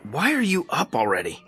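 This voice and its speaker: An actor playing a bewildered, uneasy husband in a radio drama. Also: male voice, a little high, sharp tone, breathy edge, heavily acted. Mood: groggy, grumbling. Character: bewildered, panicky husband waking up, unsettled by his reflection. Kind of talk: modern radio drama acting. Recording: music under the voice.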